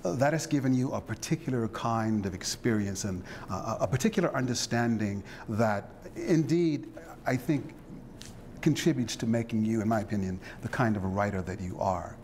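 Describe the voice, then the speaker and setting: A man interviewing a guest, speaking in a reflective, halting way with frequent fillers and restarts. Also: fairly low pitch, a little mellow, slight background noise.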